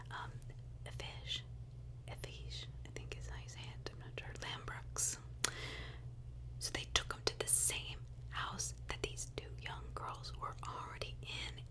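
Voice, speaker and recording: A woman whispering a true crime story in ASMR style, conversational, with asides and rhetorical questions.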